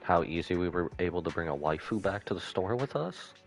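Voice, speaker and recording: Male game streamer, deep voice, very lively intonation, playful casual commentary over music. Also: fairly quick, vocal fry. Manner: playful, slangy. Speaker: game livestreamer. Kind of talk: casual playthrough commentary, reading dialogue aloud.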